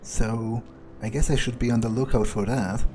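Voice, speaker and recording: A man voicing a gruff, sardonic vampire vigilante in an in-character game playthrough, muttering and hesitant as he thinks aloud. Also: mid-range voice, steady tone, normal speed, over music, lightly acted. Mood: musing. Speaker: role-playing gamer.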